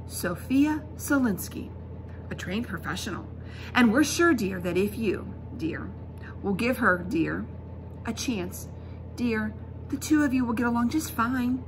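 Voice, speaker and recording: A woman voicing a soft-hearted, anxious mother in a storybook, soothing and coaxing. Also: a little high, lively intonation, unhurried, background noise.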